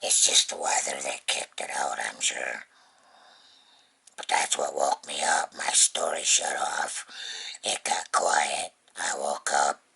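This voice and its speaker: A very breathy, husky vlogger with a low-pitched voice talks in a casual, rambling way. The words come slowly and often thin out toward a whisper, with a gravelly rasp. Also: fairly even intonation, bright, forceful tone. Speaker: vlogger, host of the channel. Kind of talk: casual unboxing vlog chat. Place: indoors at home.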